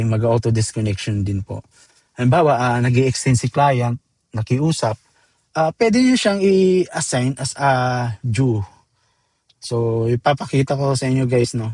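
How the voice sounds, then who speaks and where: A Filipino man presenting a software tutorial step by step in an informal, chatty way, mixing in English terms. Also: mid-range voice, lively intonation, normal speed, no echo. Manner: informal, addresses viewers as guys, code-switches into English terms. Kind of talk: casual screen-demo tutorial, step-by-step.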